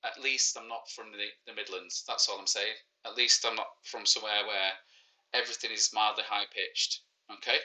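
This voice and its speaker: A man in his forties with a clear Manchester accent hosts a live maths quiz for children. His voice is bright and forceful, and he talks in easy, joking banter, often teasing himself. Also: on the low side, fairly even intonation, normal speed, poor audio. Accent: Mancunian. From Manchester, England. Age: 40s. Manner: joking, self-mocking banter. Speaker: teacher hosting a live quiz. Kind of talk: casual livestreamed lesson.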